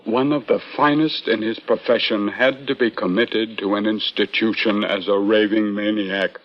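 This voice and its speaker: An actor playing an older, superstitious museum curator, agitated and pleading in a halting way, in an old-time radio suspense drama. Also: male voice, fairly low pitch, fairly even intonation, natural voice. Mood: grave, accusing. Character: frightened, earnest male museum curator, doddering and superstitious. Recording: muffled vintage radio drama recording.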